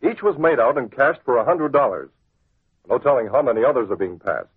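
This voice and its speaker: A husky newspaper boss, tinny radio. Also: male voice, mid-range voice, animated, brisk. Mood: serious. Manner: authoritative, matter-of-fact. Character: brisk, composed newspaper boss. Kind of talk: 1940s radio acting. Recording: narrow, tinny old radio recording.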